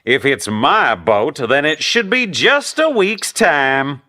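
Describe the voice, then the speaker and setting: A confident, deferential young craftsman from a fairy tale, acted by a man. He has a bright, fairly high voice and speaks unhurriedly, with faint music behind. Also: sing-song, takes it slowly, heavily acted. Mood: respectful confidence. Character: young male boat builder, respectful and confident.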